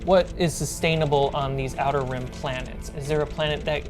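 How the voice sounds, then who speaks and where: Female game master, low voice, informal and halting narration, faint music underneath. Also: steady tone. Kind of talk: narrating a tabletop role-playing game.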